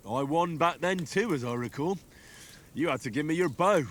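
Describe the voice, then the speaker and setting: An actor playing a reserved young squire with a dry, understated wit in a game cutscene. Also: male voice, fairly high pitch, lively intonation, moderate pace, lightly acted. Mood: wry.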